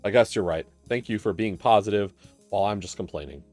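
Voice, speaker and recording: Man playing an exasperated dog politician, low voice. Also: fast, darkish tone, faint music behind, lightly acted. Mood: earnest. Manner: indignant, complaining. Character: exasperated, earnest male dog politician running for president.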